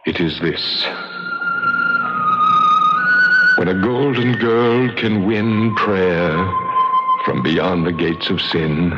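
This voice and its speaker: An actor playing a mournful, doomed ghost with solemn, poetic delivery in 1940s radio style. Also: male voice, sits low, slow pace. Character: mournful, doomed male ghost, pleading and ominous. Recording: muffled old radio broadcast with loud music.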